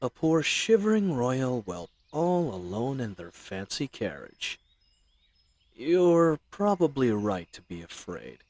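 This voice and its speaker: A man's voice: medium pitch, wildly swooping pitch, slow pace, darkish tone, exaggerated voice. A man playing a chatty, roguish bandit leader in an audio roleplay, with folksy slang and teasing mock courtesy. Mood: sly, teasing. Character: roguish, chatty, friendly male bandit leader, mockingly polite. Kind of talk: scripted audio roleplay addressed to the listener.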